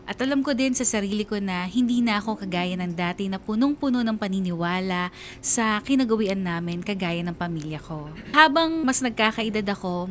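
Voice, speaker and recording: A woman's voice: mid-range voice, natural voice. An actress playing a troubled young woman who narrates her story in a reflective, confessional way for a radio drama. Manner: confessional, wistful.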